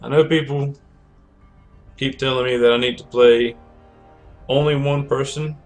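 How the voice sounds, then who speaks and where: A man's voice: medium pitch, fairly even intonation, a little slow, soft background music. A man streaming a video game, chatting casually and rambling with many self-corrections, his voice cracking from a cold. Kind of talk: casual gameplay commentary.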